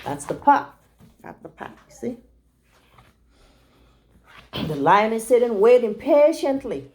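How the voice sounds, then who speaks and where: A woman reading a picture book aloud to young children as a teacher, pausing to check in with her listeners. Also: fairly high pitch, very animated, darkish tone.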